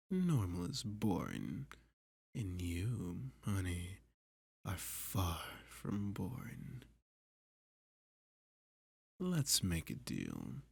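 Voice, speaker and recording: A man playing a dominant, flirty, possessive villain kidnapper in an ASMR roleplay, teasing and seductive, with a breathy, husky voice that often drops to a whisper. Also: low voice, no room sound, exaggerated voice. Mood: amused, coaxing. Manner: slow, teasing, seductive, with pet names. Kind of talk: ASMR roleplay addressed to the listener.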